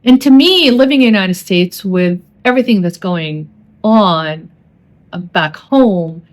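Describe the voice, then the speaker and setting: A woman with an Arabic accent, hosting a solo podcast and telling travel stories in an animated way with many restarts and fillers. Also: mid-range voice. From Saudi Arabia. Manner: animated, fast-talking with frequent restarts and fillers. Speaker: podcast host.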